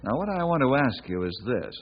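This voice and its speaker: An actor playing a steady, kindly ranger in an old-time radio western, speaking in an earnest, folksy, persuasive way. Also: male voice, mid-range voice, fairly quick, husky. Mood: earnest, calm. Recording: dull, lo-fi old radio recording.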